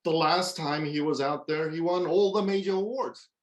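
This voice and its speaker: A man's voice: fairly high pitch, normal speed, natural voice. Man playing a hesitant young male assistant. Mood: matter-of-fact. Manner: hesitant, deferential. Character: put-upon assistant in his twenties, earnest lackey. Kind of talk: screenplay table read, comedic acting.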